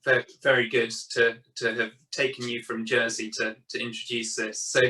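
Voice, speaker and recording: A man's voice: mid-range voice, flat delivery, normal speed, sharp tone, far from the mic, rough recording. A middle-aged man interviewing a guest on a video call from a study, with a hesitant delivery full of ums and repeated words. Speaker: interviewer, board chair. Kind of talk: hosting a webinar interview. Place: home study with bookshelves. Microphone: webcam on video call.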